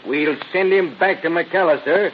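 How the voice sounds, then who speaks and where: Businesslike cop male actor, slightly high voice, muffled vintage radio drama. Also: unhurried, close to an everyday voice. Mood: matter-of-fact. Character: plain-spoken, businesslike male police detective colleague. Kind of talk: 1930s-40s radio crime drama acting. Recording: muffled old radio recording with hiss.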